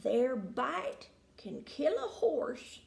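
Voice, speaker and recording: Woman doing a slow, folksy old tortoise. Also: sits high, sing-song, gravelly, echoey room, full character voice. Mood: wry, ominous. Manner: slow, quiet, drawling. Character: slow, wry old male desert tortoise. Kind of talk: folksy storybook character.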